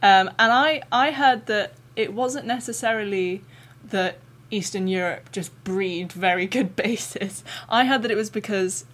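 A young woman hosting a podcast interview, chatty and encouraging, reacting with warm enthusiasm. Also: mid-range voice, unhurried, hardly any pauses, a little hiss or hum. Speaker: podcast host.

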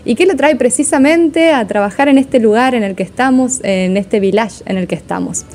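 A woman's voice: fairly high pitch, normal speed, darkish tone, slight background noise. A woman hosting an interview, greeting the audience and asking her guest several questions in a row in a friendly, casual way. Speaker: interview host.

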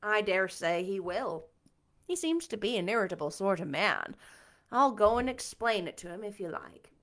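A lower, clipped voice of a strict older woman, scolding and practical, as a woman reading aloud puts her on. She talks briskly, with little patience. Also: very animated, fairly bright tone. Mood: dry. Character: stern, no-nonsense older woman guardian.

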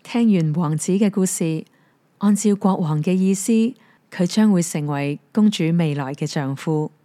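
Mellow-voiced Hong Kong Cantonese storyteller. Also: female voice, fairly low pitch, normal speed, no echo. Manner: gentle, even storytelling. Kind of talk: fairy tale narration. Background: soft piano music.

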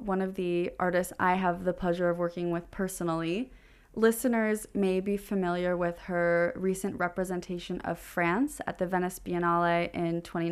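A woman's voice: on the low side, smooth. A woman gallery representative being interviewed, giving articulate, prepared explanations about art.

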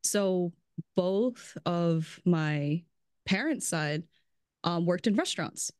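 A woman chatting casually as a podcast guest, talking about restaurants, full of "like" and quick laughs. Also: lowish voice, animated, a little mellow, clear, resonant tone, no echo, studio quality. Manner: chatty, fills speech with "like", laughs often. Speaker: brand strategist guest.

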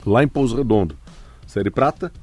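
A man reads out local fixtures on sports radio and drops dry jokes. His mid-pitched voice moves a lot. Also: fairly quick, soft background music. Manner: wry, deadpan jokes. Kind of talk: casual banter and sports announcements.

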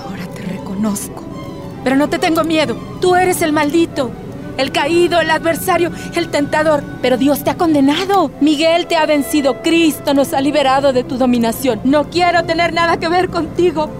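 An actress playing a devout young maiden in a radio drama, proud and defiant, with formal, dignified speech. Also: female voice, sits high, moderate pace, close to an everyday voice. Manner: formal, dignified, firm retorts. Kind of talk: theatrical radio drama acting. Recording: music behind the voice.

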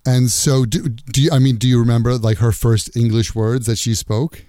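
A man with a smooth, resonant voice leads the chat, nodding along with frequent affirmations. The sound is dry and close, with no room around it. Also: medium pitch, flat delivery, normal speed, mellow, dry recording. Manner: attentive, many affirmations, repeats words. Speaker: host, teacher. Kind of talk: interview conversation.

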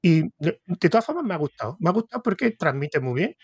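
A man's voice: fairly high pitch, very animated, fast, breathy edge, dry recording. Hesitant Andalusian man, dry close sound. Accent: Andalusian Spanish. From Córdoba, Spain. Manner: rambling, hesitant, restarts thoughts. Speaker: podcast host interviewer. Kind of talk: casual interview conversation.

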